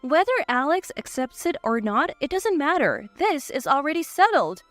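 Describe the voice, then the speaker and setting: Woman narrator reading a drama story, high voice, really dry sound, soft music under it. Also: sing-song, moderate pace, dry recording. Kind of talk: scripted first-person dramatic narration. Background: faint background music.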